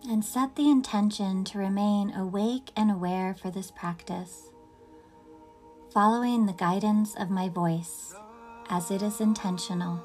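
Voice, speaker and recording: A woman leading a guided yoga nidra meditation in a gentle, soothing, unhurried manner with long pauses. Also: mid-range voice, soft background music.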